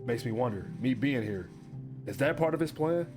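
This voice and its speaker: A man's voice: mid-range voice, expressive, moderate pace, music in the background, natural voice. Actor as a weary, desperate down-on-his-luck man. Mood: uneasy. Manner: confessional, resigned, wry. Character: weary, broke, desperate divorced man confiding his story. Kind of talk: first-person analog horror narration.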